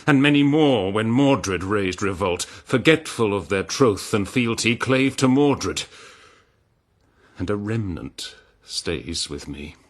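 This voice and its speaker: Doomed, sorrowful king: stern, very low voice, measured delivery, solemn poetic radio drama. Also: expressive. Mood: indignant. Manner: grave, declamatory. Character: betrayed, sorrowful king, stern and noble, facing doom.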